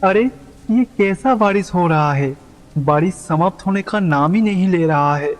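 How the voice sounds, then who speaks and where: Man voicing an earnest, higher-pitched troubled sage. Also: a little slow. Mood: troubled, puzzled. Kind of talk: animated devotional story dialogue. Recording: long reverb, music underneath.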